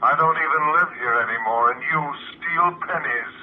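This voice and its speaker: A man's voice: medium pitch, moderate pace, slight background noise, lightly acted. An actor playing a man of about forty, a troubled patient whose nightmare leaves him nervous and childlike. It is heard on a tinny 1950 radio drama broadcast. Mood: resentful. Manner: nervous, childlike fear turning to panic. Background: music.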